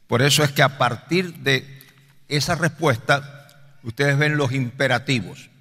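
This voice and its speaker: Guy preaching a bible study, sharp forceful voice, big reverby hall, teachy and emphatic. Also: medium pitch. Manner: didactic, emphatic, repeats key phrases for stress. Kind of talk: expository sermon with scripture reading. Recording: reverberant hall with long echo.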